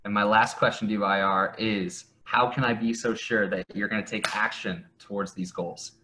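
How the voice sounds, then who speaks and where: A man's voice: lowish voice, fairly even intonation, moderate pace, pushed and bright. A young man working as a coach, talking in an upbeat, slangy bro style on a video call from home. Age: young adult. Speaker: health and business coach. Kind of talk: conversational sales call. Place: home basement room.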